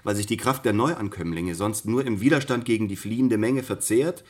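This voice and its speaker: An actor playing a didactic military expert who gives sure, lecturing analysis in a radio drama. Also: male voice, fairly low pitch, moderate pace, natural voice. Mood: assured explaining.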